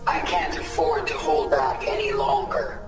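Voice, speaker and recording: Echoing and set back behind loud music, this is a vengeful queen of an alien swarm. She speaks slowly and solemnly with grim command, in game-cinematic style. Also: low-pitched, fairly even intonation, distant mic. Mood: cold determination. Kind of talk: dramatic game cutscene acting.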